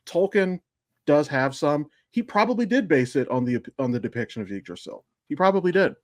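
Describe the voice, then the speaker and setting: A man's voice: a little high, very animated, brisk, dry recording, clean studio sound. A man co-hosting a podcast in casual fan discussion, speaking informally with some swearing. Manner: informal, profane. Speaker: podcast co-host.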